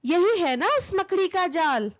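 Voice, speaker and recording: A woman voicing a boastful, pestering little female fly in a children's story, bragging and taunting, quick to anger. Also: extremely high pitch, big pitch swings, dull audio, voice pushed up, full character voice. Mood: indignant, boastful.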